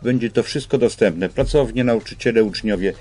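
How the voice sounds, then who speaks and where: An older man explains things as a guest in a radio interview, with halting phrasing, on a studio microphone. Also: fairly low pitch, warm tone. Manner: halting, hesitant phrasing with repeated starts. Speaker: school representative, radio guest. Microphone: studio microphone on boom arm.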